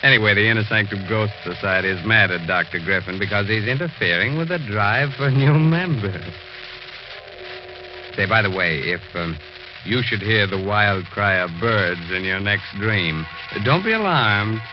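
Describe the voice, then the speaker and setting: A man's voice: lowish voice, expressive, normal speed, muffled recording, music in the background. A man hosting a 1940s radio mystery show in a wry, tongue-in-cheek manner, on an old recording. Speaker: host of a radio mystery series.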